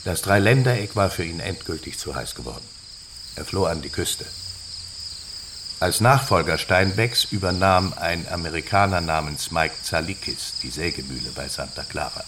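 An actor playing a grave, knowing padre in a radio drama, speaking in a measured, deliberate way. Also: male voice, low voice, animated. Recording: clear insect-filled ambient noise behind voice.

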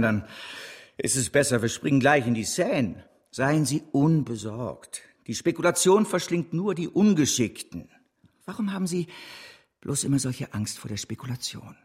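An actor playing a persuasive, ambitious financial speculator in a radio drama, husky, with insistent teasing questions. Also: male voice, mid-range voice, expressive, moderate pace. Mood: persuasive, amused.

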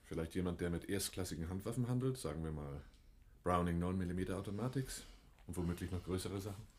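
An actor in a German radio play playing a shrewd, guarded man in hiding with a husky voice. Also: low voice, fast, a little mellow. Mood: guarded, probing.

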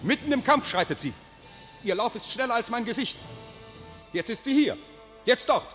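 A frantic soldier calling out a battle. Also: male voice, very high-pitched, expressive, normal speed, a bit bright. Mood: urgent. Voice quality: breathless, urgent battle reporting. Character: excited soldier reporting a battle from a tower. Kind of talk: classic radio play acting. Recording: reverberant studio with faint music.

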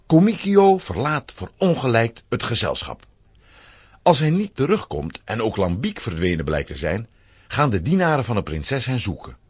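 A man with a Flemish accent and a husky voice, narrating a children's audio drama in an expressive storytelling style. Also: mid-range voice, moderate pace, no echo.

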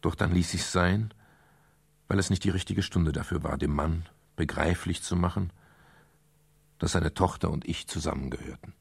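An actor playing a calm, protective private detective who narrates a radio crime drama in a husky, gravelly voice. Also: male voice, low-pitched, moderate pace. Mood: composed, matter-of-fact.

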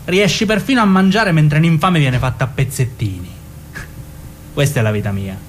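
An actor playing a coarse, ambitious, callous mobster with a southern Italian Calabrian accent in a theatrical radio drama, boastful and colloquial. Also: male voice, a little high, normal speed, a little hiss or hum, lightly acted. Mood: cocky, callous. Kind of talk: theatrical radio drama acting in dialect-tinged speech.

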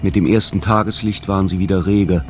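A man reading literary radio drama narration in a measured, solemn manner. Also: low-pitched, steady tone, slight background noise.

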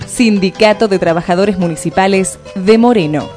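Locutora argentina formal con música. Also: female voice, mid-range voice, normal speed. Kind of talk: scripted radio ad reading. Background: music bed.

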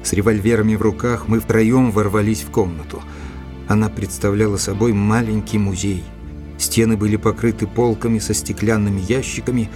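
Low-voiced gentleman narrator over music acted by a man. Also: natural voice. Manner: measured, literary storytelling. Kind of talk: dramatic audio-drama narration.

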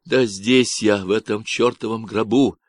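A man's voice: on the low side, fairly even intonation, unhurried, no echo, studio quality, lightly acted. A man voicing a panicked young man trapped in a box, pleading urgently over a radio.

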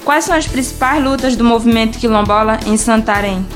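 Northern Brazilian woman reporter with highish voice. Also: fairly quick. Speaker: radio reporter. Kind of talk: scripted radio interviewing. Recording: music behind the voice.

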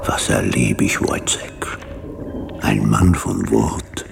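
An actor playing a pompous, fanatical doctor in a German radio play, hectoring and declamatory, lurching between muttering and shrill cries. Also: male voice, lively intonation, slow, gravelly, background noise, full character voice. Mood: indignant. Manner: declamatory, erratic swings from low mutter to shrill cry.

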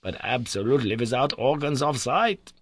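A man reading aloud as an excitable older professor, pitched well above the reader's own voice, lecturing precisely and emphatically. The storybook scholar sounds exacting and keen, set against a dry, close recording. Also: moderate pace, husky, no echo. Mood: grave. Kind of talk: audiobook character reading.

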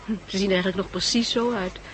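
An old radio recording with clear noise carries an actress as a level-headed, affectionate female survivor. She speaks at medium pitch with a Netherlandic Dutch accent, gentle and matter-of-fact in the style of 1960s radio acting. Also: steady tone, fast, lightly acted, rough recording. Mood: pensive.

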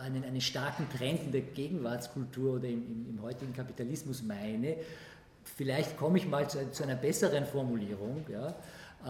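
A man's voice: mid-range voice, a little mellow. A man speaking spontaneously as a lecturer answering an audience, often breaking off and rephrasing his sentences. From Austria. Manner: halting, self-correcting, breaks off and rephrases sentences. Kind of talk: spontaneous spoken answers in a public discussion. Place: indoor public event room. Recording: echoey room with clear background noise.

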